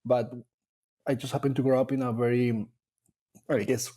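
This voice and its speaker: A man from Colombia, a dairy nutrition scientist talking about his career in a casual interview, pausing often to rethink his words. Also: mid-range voice, little pitch movement, mellow, slightly rough. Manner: thoughtful, with frequent fillers and restarts.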